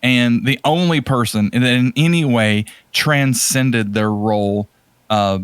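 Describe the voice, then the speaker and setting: A man's voice: medium pitch, slow pace, smooth, dry recording. Exasperated, emphatic man in casual podcast chat. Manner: exasperated, emphatic, repeats words for stress. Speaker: podcast co-host. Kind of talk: casual opinionated movie chat.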